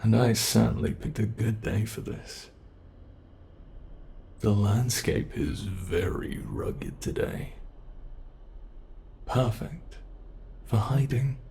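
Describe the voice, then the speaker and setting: A British man voicing a teasing, predatory male monster who holds the listener captive, speaking slowly and mockingly in an ASMR horror roleplay. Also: lowish voice, creaky, mellow, a little hiss or hum. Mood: taunting. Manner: slow, taunting, toying with the listener.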